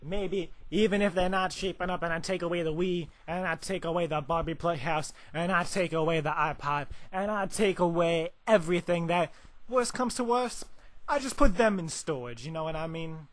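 A young man making an improvised prank call, hesitant and stammering, playing stubbornly slow on the uptake. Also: a little high. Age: young adult. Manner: hesitant, stammering, feigning dim-witted stubbornness. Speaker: prank caller. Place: indoors, small makeshift studio on a couch. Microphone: handheld microphone.

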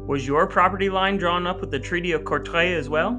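A man's voice: high-pitched, fairly quick, music in the background. A middle-aged American man hosting a podcast, speaking in a scripted, narrating style. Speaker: podcast host.

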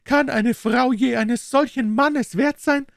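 A man voicing a passionate young Italian woman, breathless and exclaiming in a high put-on voice. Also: lively intonation, moderate pace, dry recording, clean studio sound. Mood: adoring. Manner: breathless, exclaiming, rising falsetto.

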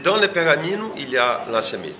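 A man's voice: a little high, sing-song, bright, forceful tone. A man in his forties with a Brazilian accent, searching for words and repeating them as he explains things in an informal interview. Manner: halting, word-searching non-native French with repeated words. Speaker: coffee farm owner being interviewed. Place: indoor room, seated before camera. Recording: clearly noisy room ambience.

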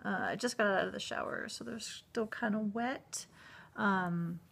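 A woman's voice: medium pitch, measured pace, creaky, a bit bright, husky. An American woman in her thirties talking casually and a little hesitantly in a personal vlog, recorded on a phone at home. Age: 30s. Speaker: vlogger. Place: indoors at home, living room. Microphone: handheld phone at arm's length.

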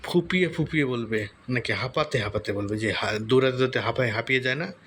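A man's voice: mid-range voice, quick pace, a bit bright. Man explaining casually over noise. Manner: informal, explanatory, often murmuring to himself while typing. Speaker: tutorial host. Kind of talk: casual screen-recorded tutorial, thinking aloud. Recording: noticeable background noise.

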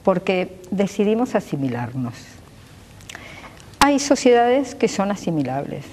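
A woman in her sixties speaks with an Argentine accent, her voice low and warm. She answers a television interviewer earnestly and fluently, gesturing as she talks. The sound carries a lingering reverb from a glass-walled room. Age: 60s. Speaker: physician and local politician, interview guest. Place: glass-walled pavilion with garden behind.

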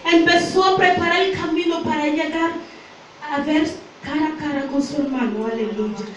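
A woman's voice: high voice, moderate pace, far from the mic, muffled recording, a little hiss or hum. A middle-aged woman preaching a fervent Pentecostal sermon in a declamatory way, punctuated with repeated hallelujahs. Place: small church hall, indoors. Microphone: handheld wired microphone through speakers, filmed from a distance. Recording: distorted, echoing church sound, recorded from afar.

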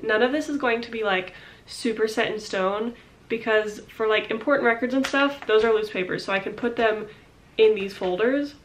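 A woman's voice: a little high, moderate pace, slight background noise. Young woman vlogger, stuffed-up and slightly breathless, casual rambling chat with lots of fillers, home office at a desk. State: congested, short of breath. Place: small home office, indoors.

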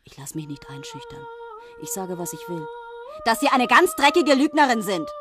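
A woman's voice: fairly high pitch, wildly swooping pitch, normal speed. An actress playing a brash, coarse woman who mocks a newcomer in a radio play. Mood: mocking. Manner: mocking, coarse, shifting to soothing. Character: brash coarse woman inmate, long-time resident. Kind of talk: 1970s radio play acting.

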